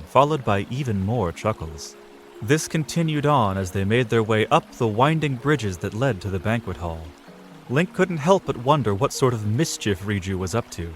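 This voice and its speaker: A man's voice: mid-range voice, sing-song, moderate pace, soft background music. A man reading scripted narration for a fantasy audio drama, in a storyteller's style.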